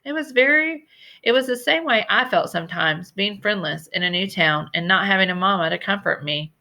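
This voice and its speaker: A woman reading a children's novel aloud as an expressive storybook narrator. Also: medium pitch, fairly quick. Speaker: story reader.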